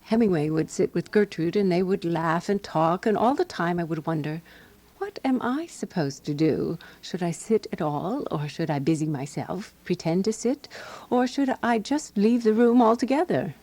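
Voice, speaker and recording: Prim, low-voiced woman narrator. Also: lively intonation, moderate pace, warm tone. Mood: dry, wry. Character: Alice B. Toklas, prim, jealous, watchful woman companion narrating. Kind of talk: radio drama first-person narration.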